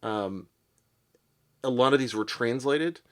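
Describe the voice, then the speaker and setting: A man presenting a literary podcast in an unscripted, thoughtful way, halting as he searches for his words. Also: mid-range voice. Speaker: podcast presenter and poetry reader. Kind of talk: casual literary commentary, unscripted.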